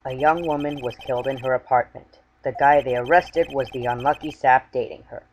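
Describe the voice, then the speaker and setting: A man's voice: medium pitch, steady tone, fairly quick, slight background noise, natural voice, rough recording. A young man playing a nervous, earnest rookie defense attorney in a video game fan dub. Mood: wry, resolute. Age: young adult. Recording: voice under background music.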